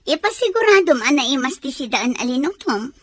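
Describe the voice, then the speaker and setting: A woman's voice: high-pitched, very animated, brisk, no room sound. A woman acting a well-off, emotional, commanding wife in a melodramatic audio drama. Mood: bossy. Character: well-off jealous wife, lady of the house.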